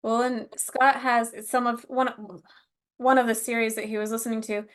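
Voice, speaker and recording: A woman's voice: fairly high pitch, level tone, normal speed. A woman co-hosting a podcast, chatting casually and joking lightly. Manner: laughs along, jokes lightly.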